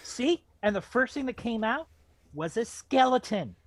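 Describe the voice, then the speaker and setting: A man's voice: very high voice, big pitch swings, unhurried. A man chatting casually in a read-aloud group, joking and swearing as he comments. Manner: joking, profane. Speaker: book club reader and commentator.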